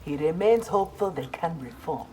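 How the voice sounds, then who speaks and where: A woman's voice: low voice, lively intonation, moderate pace, dark tone, slight background noise. A woman playing a proud, honorable armored ninja in a video game, speaking formally and with earnest resolve. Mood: resolute. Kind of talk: video game character dialogue.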